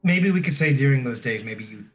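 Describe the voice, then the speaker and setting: A man's voice: mid-range voice, lively intonation, fairly quick, soft background music. A man chatting casually as a player in a tabletop role-playing game.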